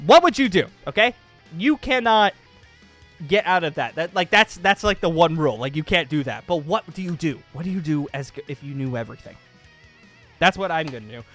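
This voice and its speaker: A man with a high-pitched voice chats casually and excitedly as a YouTube gaming host. He rambles and circles back to repeat his phrases for emphasis, with music playing behind him. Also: a little fast. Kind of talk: casual excited vlog chat over gameplay.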